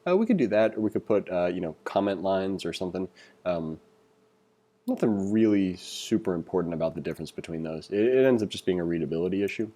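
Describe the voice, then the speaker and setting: A man with a lowish, very relaxed and mellow voice teaches in an informal screencast style. He talks conversationally, thinking aloud as he reads along and comments. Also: quick pace. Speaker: programming tutorial instructor. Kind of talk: casual screencast tutorial, reading along and commenting.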